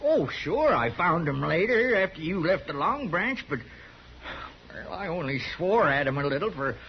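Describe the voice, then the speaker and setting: An excitable, fragile old frontier drinker with a rural western American accent. His voice is high and swings widely as he rambles, in a noisy vintage radio western. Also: normal speed. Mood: anxious pleading. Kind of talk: 1950s radio western acting. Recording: dull, noisy 1950s radio recording.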